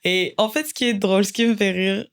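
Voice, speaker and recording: A woman in her thirties from Cameroon, a podcast guest who talks in a reflective, searching way with many pauses and fillers. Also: medium pitch. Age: 30s. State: emotional, close to tears. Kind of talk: podcast interview.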